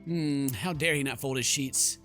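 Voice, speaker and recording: A man's voice: mid-range voice, a little slow, a bit bright, soft background music. A man giving casual, sarcastic, joking commentary as he plays a game. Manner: playful, sarcastic, exclamatory. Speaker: gaming YouTuber, let's player.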